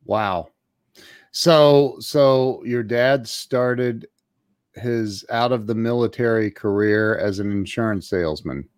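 A man's voice: on the low side, slow, smooth, no room sound, studio quality. A man hosting an interview show, casual and enthusiastic, often repeating words as he goes. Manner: enthusiastic, repeats words and says wow a lot. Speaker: show host.

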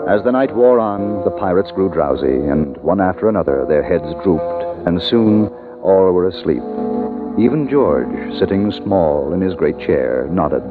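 A man's voice: low-pitched, expressive, measured pace. An actor playing a grown man wistfully recalling his boyhood, narrating a 1950s radio drama in literary storytelling style. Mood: tender wonder. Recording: tinny old radio recording, loud music behind. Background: loud music.

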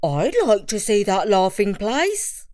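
A woman's voice: medium pitch, sing-song, slow, darkish tone, no echo, clean studio sound. A woman voicing a big, stubborn, greedy male bear in a children's story, folksy, blunt and bossy. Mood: curious.

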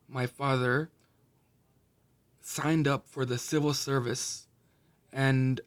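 Chatty man interviewing his father. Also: mid-range voice. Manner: chatty, friendly questioning, switches into Urdu. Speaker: podcast host. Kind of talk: casual interview.

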